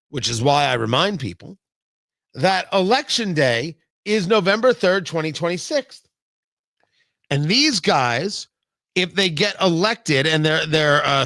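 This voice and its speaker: Animated, high-pitched American male talk host. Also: dry recording, studio quality. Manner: emphatic, repeats phrases for effect. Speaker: political talk show host. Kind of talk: opinionated political commentary monologue.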